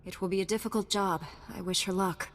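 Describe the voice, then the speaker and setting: A woman's voice: mid-range voice, fairly even intonation, a little fast, natural voice. A woman playing an earnest, steadfast Jedi knight in a video game, composed and sincere. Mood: calm resolve.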